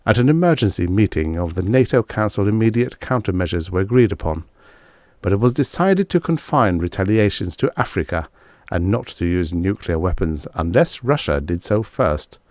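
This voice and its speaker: A man reading a vintage science fiction audiobook in a measured, formal storytelling manner. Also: deep voice, animated, darkish tone, dry recording, clean studio sound. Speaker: audiobook narrator. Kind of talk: scripted narration of vintage science fiction stories.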